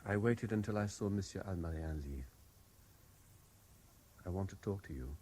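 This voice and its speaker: Haunted obsessive french guy, low warm voice, insistent and brooding, old radio play. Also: moderate pace, breathy edge. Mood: pleading, intense. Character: intense, haunted Frenchman obsessed with a lost love. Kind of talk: 1970s BBC radio drama acting.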